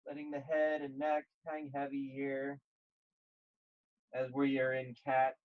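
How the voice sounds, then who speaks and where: A man leading a guided yoga class, speaking gently and calmly in short, measured phrases with pauses. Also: mid-range voice, dull audio. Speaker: yoga instructor.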